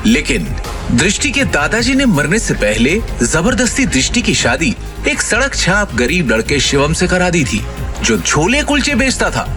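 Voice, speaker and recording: A man's voice: high voice, a bit bright. A man narrating a scripted audio drama as a dramatic storyteller.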